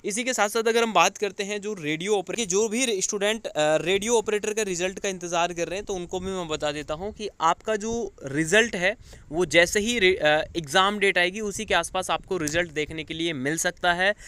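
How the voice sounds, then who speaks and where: A man's voice: sits high, moderate pace, fairly bright tone, clear, resonant tone, dry recording. A man giving an informal news update to students as a coaching teacher, explaining things with frequent check-in tags. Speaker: exam coaching teacher and channel host.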